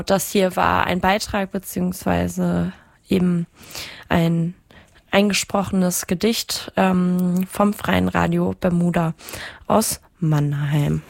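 Female radio host, informal and hesitant, slow delivery, very dry close sound. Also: lowish voice, creaky, no echo. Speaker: radio presenter.

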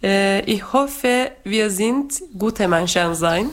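A woman from Turkey with a Turkish accent, a teacher speaking careful, halting non-native German as she talks and reads poetry. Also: mid-range voice, animated, slight background noise. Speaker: guest teacher reading poetry. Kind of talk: personal talk and poetry reading.

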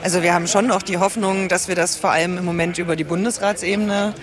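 A man's voice: high-pitched, fairly even intonation, quick pace. A man speaking for a campaign in a street interview at a demonstration, talking casually and hedging. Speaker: campaign spokesperson. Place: outdoors at a demonstration. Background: crowd.

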